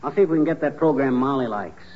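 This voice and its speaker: An actor playing a cocky, bumbling middle-aged husband with a folksy, blustering, slangy delivery in 1940s radio comedy. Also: male voice, medium pitch, fast, natural voice. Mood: boastful. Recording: noisy, dull 1940s radio recording.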